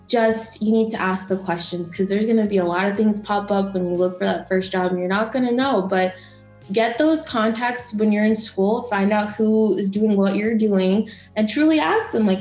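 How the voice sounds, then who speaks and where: Chatty young woman, echoey room. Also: mid-range voice. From Florida. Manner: chatty, encouraging, many fillers. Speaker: broadcast journalist and news anchor. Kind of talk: casual interview advice. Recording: roomy echo, faint music.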